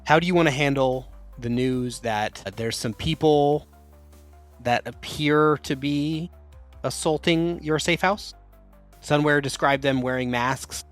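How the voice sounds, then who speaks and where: Relaxed male game master. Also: on the high side, measured pace, faint music behind. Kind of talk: running a tabletop game.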